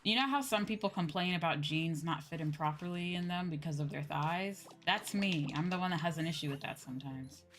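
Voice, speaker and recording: Low-pitched woman chatting casually over game music. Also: a little fast, hardly any pauses, fairly bright tone. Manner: informal, rambling, slangy, mildly profane. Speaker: livestreamer, VTuber host. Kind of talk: casual chat while playing a game, answering viewers. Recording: music playing behind the voice.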